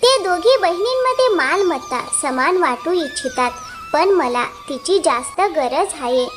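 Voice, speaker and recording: Woman doing a pushy elder sister in a village cartoon, really high voice, pleading and scheming, soft music under. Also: animated, measured pace. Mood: pleading, persuasive. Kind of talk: animated moral story dialogue.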